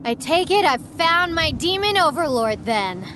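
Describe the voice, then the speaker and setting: A woman's voice: high voice, sing-song, measured pace, background noise. A woman playing a cocky, defiant young streamer who hunts demons in an audio drama, brash and scornful.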